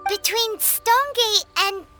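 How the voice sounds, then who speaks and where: A woman's voice: very high voice, measured pace. A woman voicing a tiny, perky, childlike fairy companion who speaks bluntly in a video game cutscene. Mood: chirpy, matter-of-fact. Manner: refers to self in third person, bouncy. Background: game music.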